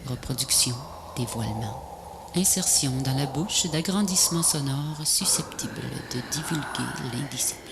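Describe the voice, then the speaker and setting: A woman recites poetry as a voice-over in a solemn, measured, breathy and often half-whispered delivery. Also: rumbling low. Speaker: poet narrator of a video poem. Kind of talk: poetic recitation, scripted voice-over. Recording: noisy VHS transfer, ambient noise.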